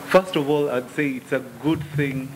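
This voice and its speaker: A man's voice: medium pitch, fairly even intonation, moderate pace, a little hiss or hum, poor audio. A man, a minister and gospel musician, answering at a press conference in an earnest, preacherly way. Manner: earnest, preacherly, quotes scripture. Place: press conference room, indoors. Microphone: table microphone.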